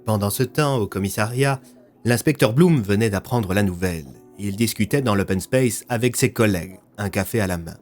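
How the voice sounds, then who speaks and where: A man's voice: lowish voice, normal speed, darkish tone, faint music behind. A man narrating a scripted audio fiction as a storyteller.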